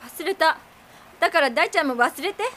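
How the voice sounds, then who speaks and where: High, bright, curt woman. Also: expressive, moderate pace, a little hiss or hum. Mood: curt, guarded. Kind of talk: radio drama acting.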